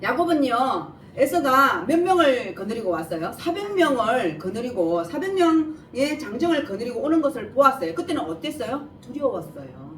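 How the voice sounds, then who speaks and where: A woman's voice: fairly high pitch, big pitch swings, moderate pace, hardly any pauses. A woman preacher with a regional Korean dialect and slightly imprecise, lisping articulation explains scripture verse by verse, putting questions to listeners. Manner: asks listeners rhetorical questions and answers them. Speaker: preacher giving a Bible message. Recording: echoey room, distant mic, faint noise.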